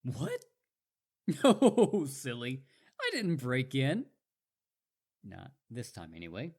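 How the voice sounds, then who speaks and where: A man's voice: medium pitch, wildly swooping pitch, slow pace, a little mellow, full character voice. A man playing an eager, boastful, lovestruck young wolf boy in a roleplay audio drama, rambling and stammering when flustered. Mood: smug, sweet.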